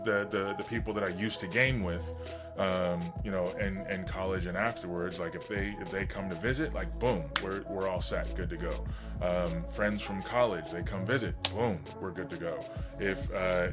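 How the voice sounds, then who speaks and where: A man hosting a livestream in casual, rambling, enthusiastic chat, his voice slightly hoarse from getting over being sick. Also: low-pitched. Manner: enthusiastic, informal geek talk. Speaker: livestream host.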